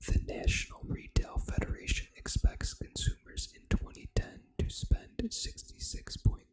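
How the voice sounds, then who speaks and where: An ASMR host reading aloud in a hushed, fully unvoiced whisper, with deliberate phrasing. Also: low-quality recording. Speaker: ASMR gaming channel host.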